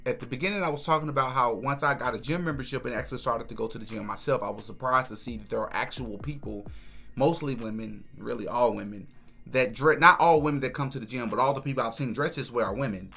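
A man's voice: medium pitch, fast talker, creaky, bright, forceful tone, soft background music. A young man hosting a reaction video in a small room, giving casual, opinionated commentary and repeating words for emphasis. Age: young adult. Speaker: host of a reaction channel. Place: small furnished room indoors.

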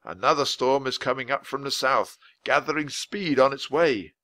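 A man voicing a tall, thin, polite older gentleman, speaking in a proper, old-fashioned way. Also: mid-range voice, animated, moderate pace, no room sound. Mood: formal.

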